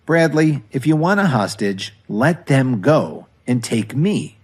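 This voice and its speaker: A man playing a calm, defiant young hero in an audio drama, speaking in a firm, controlled way. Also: medium pitch, takes it slowly, breathy edge, exaggerated voice.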